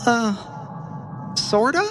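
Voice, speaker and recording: A man's voice: very high-pitched, animated, drawn-out words, noisy background, voice pushed up, heavily acted. A man voicing a puzzled, indecisive wanderer in a storybook tale, speaking in a hesitant, unsure way.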